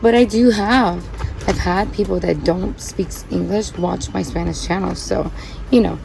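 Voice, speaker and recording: A woman's voice: on the low side, fairly even intonation, unhurried, gravelly, low-quality recording. A woman who was born in Puerto Rico and grew up in Connecticut, hosting a plant channel in a rambling, chatty way with many fillers as she repots cacti. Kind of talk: casual chat while repotting cacti.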